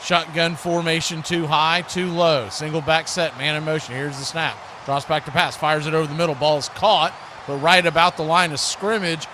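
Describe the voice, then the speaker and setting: Higher-voiced man calling football, noisy sound. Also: fairly even intonation, normal speed. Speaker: play-by-play radio announcer. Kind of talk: live American football commentary. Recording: clearly noisy live stream recording.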